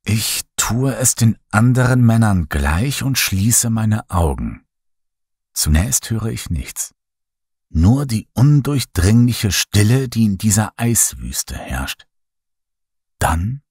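A man narrating a horror story in the first person, dramatic and lively. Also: on the low side, slow, breathy edge, dry recording, clean studio sound. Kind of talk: horror audiobook reading.

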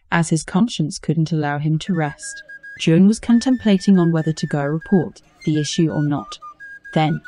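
A woman's voice: deep voice, mellow. A woman narrating a scripted folktale as a storyteller. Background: music under the voice.

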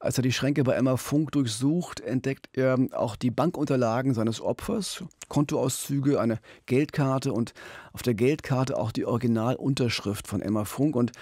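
A man's voice: mid-range voice, moderate pace, darkish tone, no room sound. A middle-aged man co-hosting a true-crime podcast, telling the story conversationally into a studio microphone. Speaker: podcast co-host. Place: radio studio. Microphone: studio microphone on boom arm with pop filter. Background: background music.

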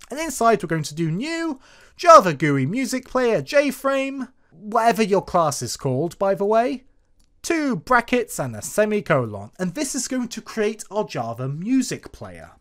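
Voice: mid-range voice, big pitch swings, normal speed, fairly bright tone. A tutorial presenter narrating a coding screencast in a casual, explanatory manner. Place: voice-over for a screen recording.

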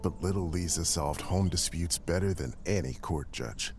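Deep-voiced grieving uncle. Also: male voice, music in the background. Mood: fond, proud. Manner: measured, solemn, tender oratory. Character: grieving proud uncle giving a niece's eulogy. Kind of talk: dramatic game voice acting, funeral speech.

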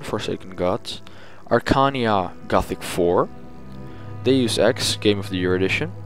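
Low-voiced man rambling casually, faint music behind. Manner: informal, chatty, thinking aloud. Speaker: gaming channel host. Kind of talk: casual rambling audio log.

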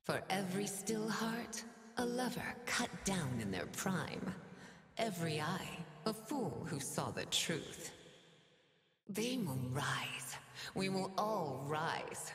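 An actress playing a seductive, cruel demon queen in a video game, with breathy whispers, a menacing purr and theatrical archaic lines. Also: on the low side, sing-song, takes it slowly, full character voice. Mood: grand, sultry. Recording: reverberant game audio with music.

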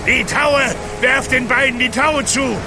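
A gruff sea captain in a radio play, barking brusque commands in a high, strained pitch with gravel in it. Swelling music plays loudly behind him. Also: male voice, steady tone, unhurried. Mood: urgent, commanding. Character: gruff, hard-pressed sea captain barking orders in a storm. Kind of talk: German audio drama acting. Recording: loud storm effects and dramatic music behind.

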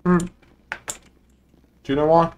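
A man's voice: takes it slowly, muffled recording. A laid-back, joking man chatting casually while roleplaying in an online game. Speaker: streamer playing a police boss in an online roleplay game.

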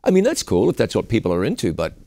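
A man's voice: on the low side, wildly swooping pitch, very fast, gravelly, mellow, no room sound, studio quality. A middle-aged man hosting a conversational interview, putting questions and comments to his guest. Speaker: interviewer, host. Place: quiet indoor studio set with armchairs. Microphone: lapel mic.

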